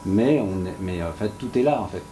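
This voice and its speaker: A man's voice: low voice, expressive, a little fast, over music. A man talking spontaneously on an expert panel, hesitant and repeating his words. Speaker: sound professional on an expert panel. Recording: clear ambient room noise.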